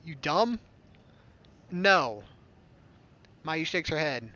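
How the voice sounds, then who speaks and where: A man's voice: a little high, animated, very slow, pushed and bright, highs cut off. A man reading a visual novel aloud as a gaming host, adding casual, joking asides. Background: game music.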